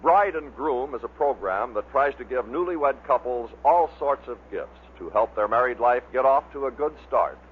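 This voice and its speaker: A man's voice: medium pitch, expressive, moderate pace, hardly any pauses. Man narrating on a tinny vintage recording. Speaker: narrator of a radio bloopers compilation. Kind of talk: scripted narration introducing clips. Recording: muffled vintage narrow-band recording with hiss.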